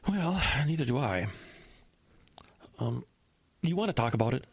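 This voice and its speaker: A man's voice: mid-range voice, expressive, unhurried, darkish tone, husky, no echo. A man acting a concerned, cautious church acquaintance in an audio drama, hesitant, folksy and tactful. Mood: tactful, puzzled.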